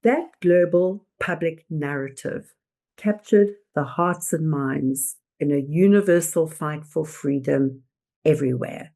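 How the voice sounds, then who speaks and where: Slow, low-pitched woman presenter with creaky voice. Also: dark tone. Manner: deliberate, emphatic, oratorical phrasing. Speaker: host and presenter. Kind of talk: scripted motivational narration.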